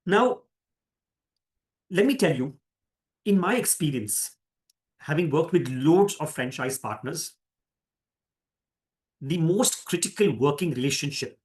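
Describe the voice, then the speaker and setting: Emphatic Indian man, higher-pitched voice. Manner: deliberate, emphatic, repeats phrases for emphasis. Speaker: managing director leading an orientation. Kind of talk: orientation talk to new franchise partners.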